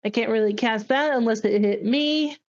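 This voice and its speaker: Woman tabletop player, high voice, unhurried, muttering asides, slightly dull lo-fi mic. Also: a little mellow. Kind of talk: casual game chat.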